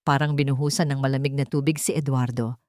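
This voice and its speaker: A woman's voice: rumbling low, fairly even intonation, fast talker, darkish tone, no room sound, clean studio sound. A woman narrating a story in a scripted, dramatic and suspenseful style.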